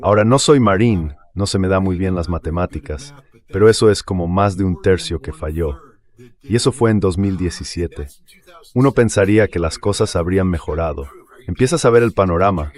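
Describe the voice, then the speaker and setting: A man's voice: low voice, normal speed, a little mellow. A man reading a dubbed political and military commentary in an emphatic, explanatory way, in short declarative sentences. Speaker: translated voice-over of an interview guest.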